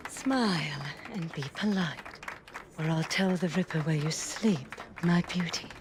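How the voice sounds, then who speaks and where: An actress playing a menacing, sneering brothel madam with false sweetness in a video game. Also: female voice, deep voice, a little slow. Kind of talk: video game cutscene acting.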